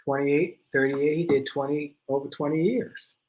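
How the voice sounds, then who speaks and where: A middle-aged man co-hosting a marriage advice show, retelling a listener's letter in an animated way and often repeating himself. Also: on the high side, normal speed, low-quality recording. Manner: animated storytelling, repeats phrases.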